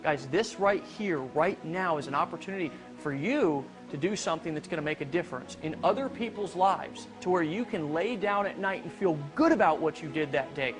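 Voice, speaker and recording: A man presenting a business opportunity, making a blunt, hard-selling pitch straight to viewers. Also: lively intonation, fairly quick. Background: background music.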